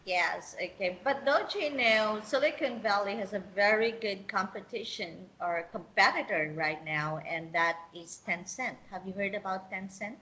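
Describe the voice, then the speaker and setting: Bright-voiced chatty woman interviewer. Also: mid-range voice, moderate pace, few pauses, poor audio. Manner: chatty, encouraging, laughs often. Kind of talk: interview questions, casual.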